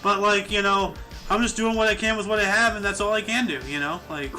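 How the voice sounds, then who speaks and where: Man, thirties, high-pitched, bright tone, rambling casual chat, lots of fillers, faint music behind. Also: fairly quick. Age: 30s. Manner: rambling, many fillers like "you know". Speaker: podcast host.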